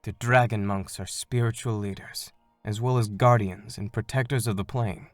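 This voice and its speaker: A man voicing a courteous, steady monk guardian in an animated fan dub, formal and composed. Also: lowish voice, moderate pace, dry recording, soft background music, lightly acted. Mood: composed explaining.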